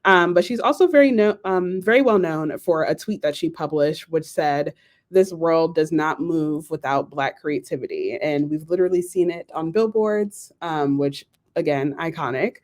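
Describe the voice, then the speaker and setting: A woman's voice: deep voice, normal speed. A woman hosting and moderating a live panel in an enthusiastic, casual way. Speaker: host, moderator.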